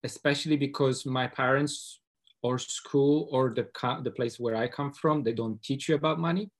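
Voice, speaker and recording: Man, mid pitch, nervous questioner who stops and restarts a lot. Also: fairly even intonation, normal speed. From Poland. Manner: hesitant, many uhs and restarts. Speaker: audience member asking a question.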